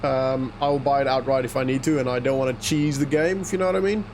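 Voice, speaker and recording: A man's voice: mid-range voice, fairly even intonation, fast talker, darkish tone, slight background noise. A man whose first language is Afrikaans, chatting casually and rambling to himself while playing a farming game. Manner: rambling, self-talking, chuckles to himself. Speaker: gaming YouTuber. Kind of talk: casual let's-play commentary.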